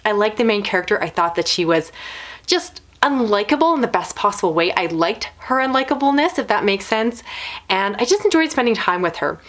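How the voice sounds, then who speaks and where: A woman's voice: medium pitch, sing-song, a little fast, a bit bright. A woman reviewing books in a casual video style, talking in long, considered explanations. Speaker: book reviewer.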